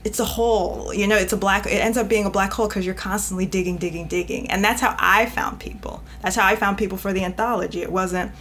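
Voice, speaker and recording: A woman's voice: mid-range voice, steady tone, quick pace, few pauses, fairly bright tone, a little hiss or hum. A woman from New York, a guest editor talking directly in a casual podcast chat and laughing often as she speaks.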